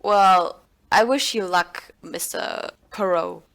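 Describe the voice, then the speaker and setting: A woman's voice: fairly low pitch, takes it slowly, gravelly, fairly bright tone, exaggerated voice. A woman playing a blunt, sarcastic rental agency clerk in an audio play, dry and offhand.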